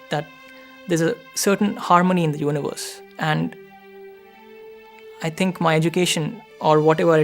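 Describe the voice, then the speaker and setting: Doctoral student, male, young, Indian accent. Frequent vocal fry, reflective interview tone, faint music underneath. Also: a little high. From New Delhi, India. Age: young adult.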